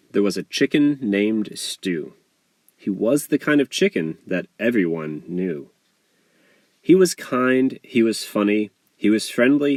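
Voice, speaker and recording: A man reading a rhyming children's story aloud as its author, in an expressive, measured storytelling delivery. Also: on the low side, no echo. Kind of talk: scripted storybook narration in rhyme.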